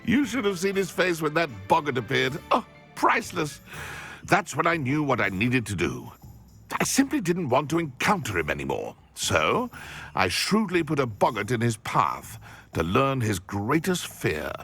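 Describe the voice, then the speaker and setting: A man's voice: medium pitch, big pitch swings, moderate pace, fairly bright tone, faint music behind. An actor playing a prickly, vengeful, smug man in a video game, with a British accent and a haughty, articulate, indignant manner. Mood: gloating. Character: prickly, vengeful, smug adult former schoolmate.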